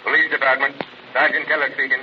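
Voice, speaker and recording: Man playing businesslike desk sergeant, fast, curt, old radio drama, faint hiss. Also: mid-range voice, little pitch movement, natural voice. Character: businesslike male police desk sergeant on telephone. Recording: dull, hissy old radio recording.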